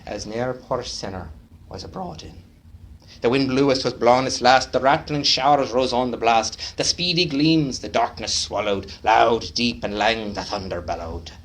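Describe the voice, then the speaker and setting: A man giving a dramatic poetry recitation at a speaking club in a put-on Scottish brogue, swinging from loud declaiming to hushed low lines. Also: slight background noise. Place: club meeting room.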